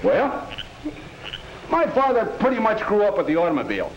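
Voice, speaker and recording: A man's voice: high-pitched, huge pitch swings, moderate pace, full character voice. A man playing an earnest, patient middle-aged American father advising his teenage children in a 1950s educational film. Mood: thoughtful. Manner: earnest, instructive. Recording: muffled, hissy 1950s film soundtrack.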